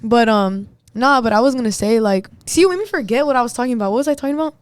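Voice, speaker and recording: A young woman singer with a deep voice, talking bluntly and defiantly with a lot of slang in a casual podcast chat. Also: rapid-fire, no room sound. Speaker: singer and guest.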